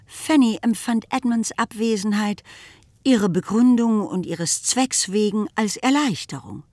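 A woman reading scripted literary narration for a radio play in a composed storytelling manner. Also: mid-range voice.

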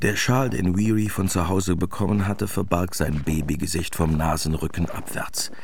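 An actor narrating a war story in a German radio play as a measured storyteller, in a dry, matter-of-fact manner. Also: male voice, deep voice, fairly even intonation, gravelly, slight background noise.

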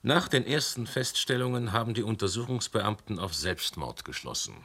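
Brusque, low-voiced police commissioner. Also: male voice, lively intonation, creaky, a bit bright, exaggerated voice. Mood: probing, sardonic. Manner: commanding, sardonic, deliberate. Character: brusque, sardonic male police commissioner, middle-aged. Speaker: police commissioner questioning witnesses. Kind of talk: German radio play acting.

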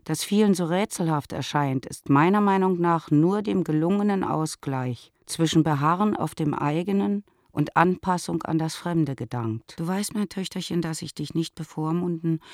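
A concerned mother of middle age in a radio play of letters. Her voice is deep for a woman, with a measured, admonishing delivery. The sound is close and dry. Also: a little mellow, dry recording. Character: concerned, admonishing mother writing to grown daughter.

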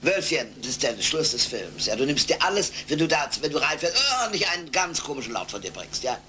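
Male dubbing director, informal, coaxing, sharp bright tone, high-pitched, noisy archival sound. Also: sing-song, brisk. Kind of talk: studio direction.

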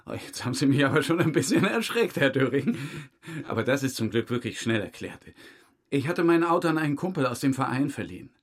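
Questioned car owner, raspy medium voice, chatty, defensive, evasive, colloquial, crime audio drama. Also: male voice, medium pitch, big pitch swings, brisk. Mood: aggrieved. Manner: colloquial, plausible, slightly aggrieved. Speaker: witness being questioned by police.

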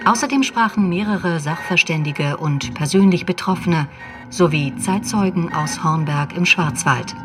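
A woman's voice: lowish voice, a little slow, over music. A woman reading closing credits as a scripted radio announcement.

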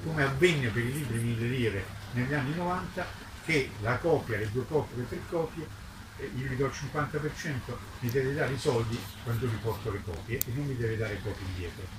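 A man speaking informally at a presentation, halting at times and listing points with emphasis. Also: mid-range voice. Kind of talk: informal talk at a book presentation. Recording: roomy, distant mic, clear background noise.

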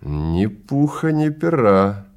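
A man voicing a blunt, provocative time-travel agency clerk in an audio story, forceful and salesman-like. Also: fairly low pitch, very animated, takes it slowly, heavily acted. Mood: brisk.

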